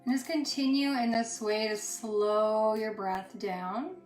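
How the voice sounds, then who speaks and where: A woman teaching yoga and guided meditation in a soothing, unhurried way, pausing between short phrases. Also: mid-range voice, darkish tone. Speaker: yoga instructor. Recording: roomy echo with music underneath. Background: music.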